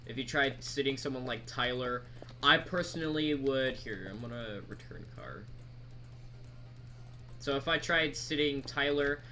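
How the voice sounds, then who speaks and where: A man giving a casual screen-recorded software tutorial, talking in a halting, rambling, informal way. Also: medium pitch. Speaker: mod creator presenting tutorial. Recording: background noise, keyboard typing.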